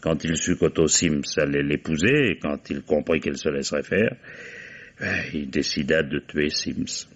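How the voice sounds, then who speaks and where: A shrewd, weary commissioner speaks in a deep voice. He is deliberate, musing and commanding, in old French radio mystery style. The sound is dull and dated. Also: husky. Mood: reflective, explaining. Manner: deliberate questioning with musing interjections. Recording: dull vintage radio drama recording.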